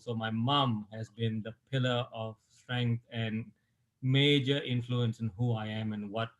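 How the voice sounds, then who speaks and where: Middle-aged man telling his story, relaxed. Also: mid-range voice, poor audio. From Malaysia. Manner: relaxed, many fillers and restarts. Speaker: sports medicine physician, guest. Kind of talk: conversational interview storytelling.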